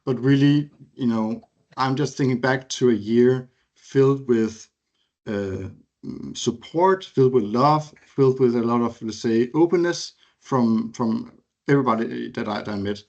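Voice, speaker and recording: A man's voice: mid-range voice, a little slow, a little mellow. A man from Denmark in his thirties, reminiscing casually on a video call, hesitant with many fillers and restarts. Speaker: alumni speaker. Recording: muffled video call audio.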